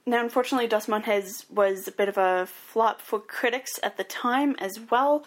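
A woman co-hosts a podcast and talks in a chatty, informative way, walking her partner through a recap. Her voice sits a little higher than most women's. The delivery is casual conversation. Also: moderate pace. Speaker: podcast co-host.

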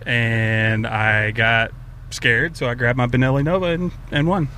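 A man's voice: mid-range voice, steady tone, measured pace, bright, forceful tone, slight background noise. A man co-hosting a casual podcast, joking and laughing often. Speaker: podcast co-host.

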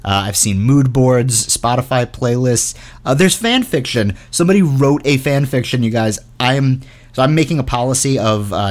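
A man hosting a podcast, giving announcements in a chatty, informal, earnest way. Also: mid-range voice. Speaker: podcast host and show creator.

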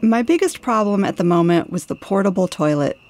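A woman's voice: mid-range voice, expressive, brisk, a little mellow. A middle-aged woman reading her own first-person audiobook as a scripted narration. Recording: guitar music under narration.

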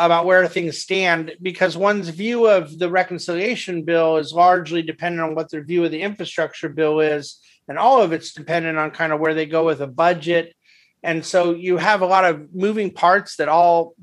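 A man with a slightly higher pitched voice talks through markets on a conference call. He thinks aloud, hedges his views and often restarts sentences. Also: steady tone, normal speed, fairly bright tone. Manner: thoughtful, hedging, many restarts. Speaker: investment firm head and market commentator. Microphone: phone or conference call line.

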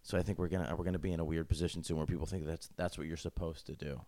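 A man's voice: rumbling low, steady tone, racing, warm tone, dry recording. A young man hosting a podcast in a friend's apartment, talking in a loose, rambling, joking way and acting out little asides. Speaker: podcast host. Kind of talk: casual interview chat.

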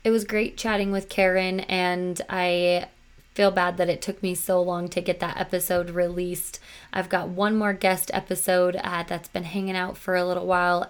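A woman in her thirties hosting her podcast, slightly hoarse after losing her voice, talking in a chatty, earnest and self-reflective way. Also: fairly low pitch, little pitch movement, normal speed. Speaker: podcast host. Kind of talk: solo podcast talk.

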